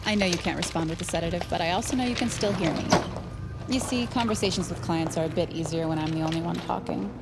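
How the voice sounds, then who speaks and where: A low-pitched female villain played by an actress, a cold institute scientist who soothes and gloats in the same breath. She is condescending and theatrical, with dramatic music playing behind her in an audio drama. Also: fast, close to an everyday voice. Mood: smug, gloating. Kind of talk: scripted audio drama acting.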